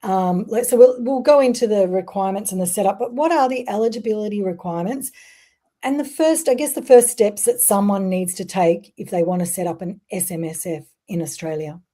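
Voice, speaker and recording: Woman host asking questions, mellow warm voice, kinda loose with ums. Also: medium pitch, a little fast. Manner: friendly, loosely phrased questions with fillers. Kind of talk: interview hosting.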